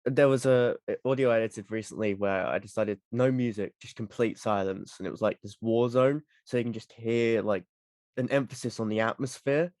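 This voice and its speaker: A man's voice: lowish voice, brisk, a little mellow, no room sound. A man chatting casually on a podcast as an audio editor guest. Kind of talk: casual chat.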